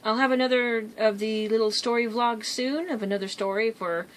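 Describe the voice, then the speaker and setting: A woman's voice: a little high, moderate pace, low-quality recording. A woman vlogger telling stories in a casual, rambling way, easily drifting off track. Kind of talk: casual storytelling vlog.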